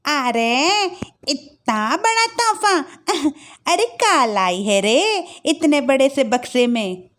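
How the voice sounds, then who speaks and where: Cartoon mother-in-law, woman performer: middle-aged rustic, rural North Indian Hindi accent, very high and swooping, folksy, dramatic, given to grumbling. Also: sing-song, heavily acted. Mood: amazed. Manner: drawn-out, wailing exclamations and folksy grumbling. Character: dramatic rustic village mother-in-law, complaining and easily amazed. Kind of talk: moral-story cartoon voice acting.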